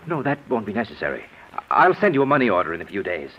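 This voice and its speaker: Nervous scheming husband, muffled old radio. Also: male voice, lowish voice, big pitch swings, fast. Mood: polite, flustered. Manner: stammering, hesitant. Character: nervous, scheming husband hiding guilt under mild politeness. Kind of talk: old-time radio drama acting. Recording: dull, noisy vintage radio recording.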